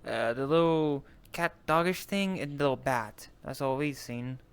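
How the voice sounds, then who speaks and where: A man improvising in character in an online role-play game, speaking casually and haltingly. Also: mid-range voice, clean tone. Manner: hesitant, halting.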